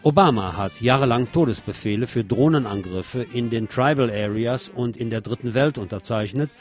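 A man's voice: mid-range voice, moderate pace, darkish tone. A man hosting a radio magazine, reading scripted commentary with wry, opinionated asides and emphatic delivery. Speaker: radio show host. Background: music under the voice.

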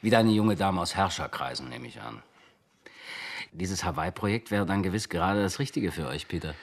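Low, husky teasing middle-aged colleague. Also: male voice, lively intonation, fairly quick. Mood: teasing, chummy. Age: 50s. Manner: bantering, mocking. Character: jovial, teasing male office colleague of fifty. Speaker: supporting actor. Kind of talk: German radio drama dialogue.

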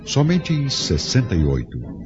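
A man's voice: medium pitch, wildly swooping pitch, a little slow. A man narrating a radio drama in solemn, grave, literary style. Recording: dull lo-fi radio drama recording. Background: clear background music.

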